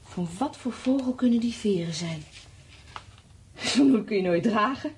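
An actress playing a restless, distrustful and wistful wife in a Dutch radio play, with a needling, musing way of talking. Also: female voice, on the high side, expressive, unhurried, darkish tone, a little hiss or hum. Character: restless, thirsty wife scavenging an abandoned house, distrustful and wistful.

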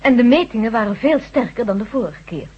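A composed, matter-of-fact research doctor in an old radio play, slightly high in pitch. The voice is husky and the recording dull and lo-fi. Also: female voice, expressive, a little fast. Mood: reporting. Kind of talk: 1970s radio drama acting.

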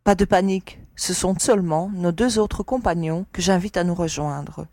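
A woman acts out a wary young team leader in a space adventure audio drama. Her voice sits slightly low, composed and self-assured, in a very dry recording. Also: normal speed, a little mellow, no room sound. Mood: calm command.

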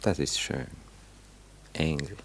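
An actor playing an idle, gallant gentleman flirt in a German radio play, teasing and languidly ironic. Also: a bass voice, slow, vocal fry, heavily acted. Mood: playful, ironic.

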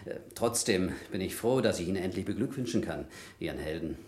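Brazen crook, swinging pitch, echoey. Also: male voice, expressive, brisk, darkish tone. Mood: falsely hearty. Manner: mocking, falsely hearty, chuckling. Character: brazen male crook on the run, mock-jovial, menacing. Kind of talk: vintage German radio crime drama acting. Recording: echoey vintage radio play studio.

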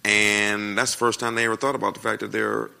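An American man preaching and answering questions in a naturally loud voice, with a folksy, emphatic way of talking that repeats phrases for effect. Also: fairly low pitch, flat delivery, fairly quick, gravelly. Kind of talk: conversational sermon with question and answer.

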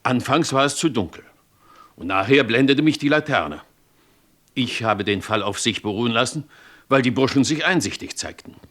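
Actor as bright-voiced, deferential police constable. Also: male voice, mid-range voice, lively intonation, natural voice. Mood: earnest, deferential. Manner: deferential, measured witness report. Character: dutiful male river police constable, respectful and deliberate. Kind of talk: German radio crime drama acting.

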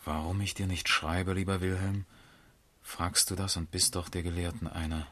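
Deep, husky, brooding gentleman. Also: flat delivery, normal speed. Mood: shaken, curt. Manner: commanding, impatient, then brooding. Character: brusque, shocked master grieving his friend. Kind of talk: German radio drama acting.

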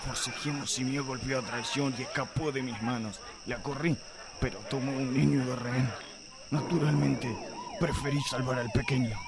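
An actor playing a pompous, lecherous middle-aged police inspector in satirical radio theatre, with an Argentine accent and theatrical delivery. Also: male voice, mid-range voice, normal speed, gravelly, very noisy, lightly acted. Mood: self-justifying. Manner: theatrical mock-heroic declaiming.

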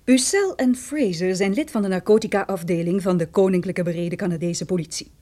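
Radio-play casting: woman as stern young lawyer, mellow tone, precise, brisk and businesslike. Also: lowish voice, natural voice. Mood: cool, official. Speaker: supporting actress. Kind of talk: radio detective drama acting.

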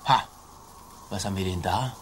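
An actor playing a boastful, swaggering Spanish colonial army sergeant in a theatrical, declamatory radio play style. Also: male voice, sits low, normal speed, very noisy, close to an everyday voice. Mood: blustering, threatening.

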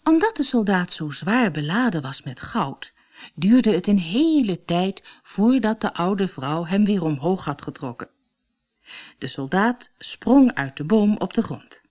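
A woman narrates a children's fairy tale with very lively intonation. Her reading is unhurried and expressive, in the manner of a recorded storybook. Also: mid-range voice. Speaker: audiobook storyteller.

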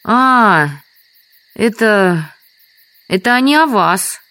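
A woman's voice: medium pitch, expressive, extremely slow, a bit bright, no room sound. An actress playing a polite, hesitant, evasive village woman in an audio drama. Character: evasive, deferential village woman in a sand pit.

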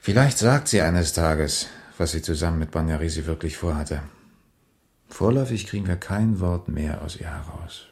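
An actor in a radio crime drama playing a matter-of-fact, methodical police investigator with a dry, colloquial way of reporting. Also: male voice, sits low, vocal fry, darkish tone. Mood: pondering, sober.

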